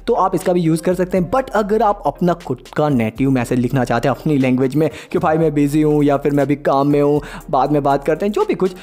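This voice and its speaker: A man's voice: on the high side, a little mellow. A man hosting a smartphone tips tutorial in an informal, chatty way, talking to viewers like buddies. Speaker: tech tutorial host.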